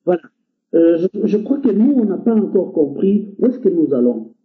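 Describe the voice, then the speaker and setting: Congolese guy calling in, urging and earnest, kinda high voice, thin phone-like sound. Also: very animated, normal speed. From Democratic Republic of the Congo. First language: Lingala or another Congolese language. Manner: earnest and exhorting, with frequent filler hesitations. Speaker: guest speaker. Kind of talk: impassioned call-in talk. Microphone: internet call. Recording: tinny phone-like line.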